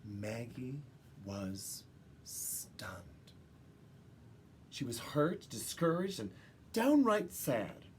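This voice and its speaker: A woman reads a children's picture book aloud in an expressive way, with dramatic pauses and emphasis for young listeners. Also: a bass voice, slight background noise. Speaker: children's story reader.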